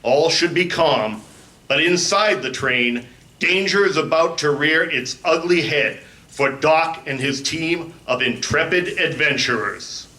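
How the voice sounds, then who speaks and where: A man's voice: fairly high pitch, a little slow, pushed and bright. A man announcing and narrating in old-time radio serial style, melodramatic and declamatory. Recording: echoey live convention stage.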